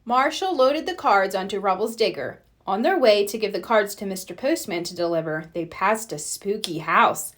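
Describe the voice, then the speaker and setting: A woman narrating a children's storybook aloud in an expressive read-aloud style. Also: mid-range voice, moderate pace, hardly any pauses.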